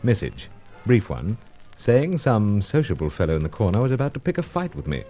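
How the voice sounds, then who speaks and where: Guy playing suave british spy in an old radio serial, lowish voice, very lively delivery, clipped. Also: brisk, highs cut off, faint music behind. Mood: wry, suspenseful. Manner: clipped, understated, terse. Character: suave, unflappable British secret agent, brisk and clipped. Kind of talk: old-time radio adventure serial narration and dialogue.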